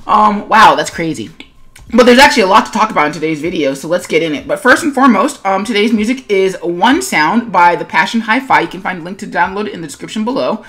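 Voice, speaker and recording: Woman artist chatting over her drawing video, average pitch, kinda rambly and unscripted, keeps backing up and fixing her words. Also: lively intonation, quick pace, fairly bright tone. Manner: rambling, hesitant, self-correcting. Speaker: webcomic artist and video host. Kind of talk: casual unscripted speed-paint video commentary.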